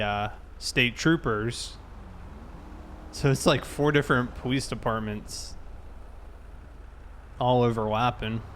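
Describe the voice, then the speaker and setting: A man with a mid-pitched voice talks casually, like a streamer hosting a game session. He explains things in a conversational way. Faint vehicle noise and ambience sit behind him. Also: a bit bright. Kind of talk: casual chat while gaming.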